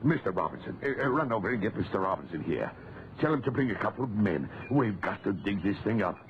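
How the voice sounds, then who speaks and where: A muffled, noisy old radio recording of an excitable village man. He swings wildly in pitch, stammers and insists in a fussy, agitated way, in full 1940s radio style. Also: fast talker. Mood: agitated insistence.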